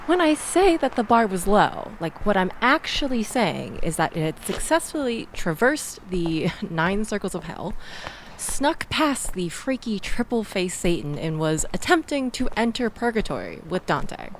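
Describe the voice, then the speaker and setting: A woman's voice: mid-range voice, sing-song, a little slow, a little hiss or hum, rough recording. A woman playing a chatty, exasperated young woman in a casual audio roleplay, rambling and self-deprecating as she tells her story. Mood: self-deprecating, amused. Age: young adult.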